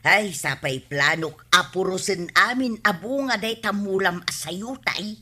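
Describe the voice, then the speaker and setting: A woman's voice: medium pitch, animated, unhurried, bright, forceful tone, breathy edge, heavily acted. A woman playing a cheeky, thrifty village neighbor in an Ilocano comedy radio drama, exclaiming and drawing out her banter in an Ilocano accent. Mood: breezy, scheming.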